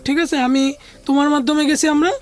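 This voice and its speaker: A woman with a noticeably high voice and a Bangladeshi accent recounts a personal story as an interview guest. She talks conversationally and often repeats what others said in direct speech. Faint music plays behind her. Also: steady tone, a little fast.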